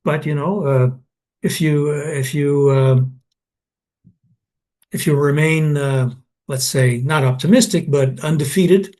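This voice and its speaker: A man's voice: on the high side, unhurried, pauses often, a little mellow. An older man from Canada in an oral history interview, telling anecdotes with many restarts and fillers.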